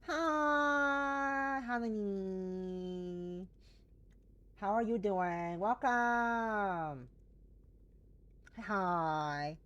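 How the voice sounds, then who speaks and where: Hoarse, stuffy-nosed woman streamer. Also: mid-range voice, extremely slow, long pauses, muffled recording. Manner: chatty and warm to chat, frequent restarts. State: hoarse, voice going; stuffy blocked nose. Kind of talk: casual live-stream chat with viewers.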